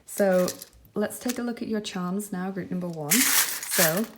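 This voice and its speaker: A woman giving a relaxed, reassuring pick-a-card tarot reading, thinking aloud with soft fillers as she goes. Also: medium pitch, unhurried, very noisy. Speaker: tarot reader.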